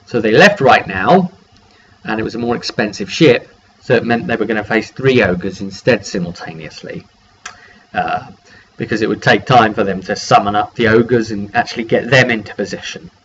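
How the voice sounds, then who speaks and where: A man's voice: fairly low pitch, normal speed, a bit bright. Man rambling casually through dull, noisy audio. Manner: rambling, conversational, acts out brief dialogue. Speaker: game master recapping a session. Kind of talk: casual one-take vlog recap of a tabletop campaign. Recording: dull lo-fi sound, clear background noise.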